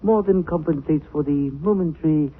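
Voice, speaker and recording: An actor playing a suave, menacing smuggler in a 1940s radio drama, speaking with formal, ornate politeness. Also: male voice, on the high side, normal speed, natural voice. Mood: courtly, smooth. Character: suave, menacing male smuggler of narcotics with courtly speech. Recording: tinny, band-limited 1940s radio recording.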